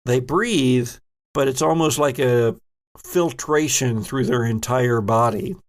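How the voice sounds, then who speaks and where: A man's voice: mid-range voice, takes it slowly. A man narrating a tabletop role-playing game as game master, addressing the players with dramatic storytelling.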